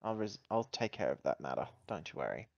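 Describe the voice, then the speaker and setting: A player voicing a pragmatic, world-weary ship's officer with measured, plain speech in a tabletop sci-fi game. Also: low voice, big pitch swings, gravelly, no room sound, dull audio, pitched below the performer's own voice, heavily acted. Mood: reassuring.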